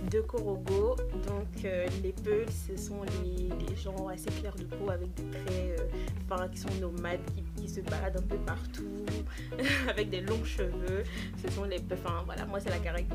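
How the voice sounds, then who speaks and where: Chatty young woman over background music. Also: medium pitch. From Côte d'Ivoire. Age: 20s. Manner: chatty, laughing often, mixing in English words. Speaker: YouTuber. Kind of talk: casual vlog chat to camera.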